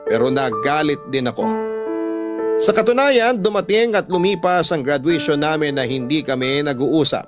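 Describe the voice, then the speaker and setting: A man's voice: on the high side, expressive, moderate pace. A man with a Filipino accent narrating a listener's letter in the first person as a radio storytelling host. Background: music.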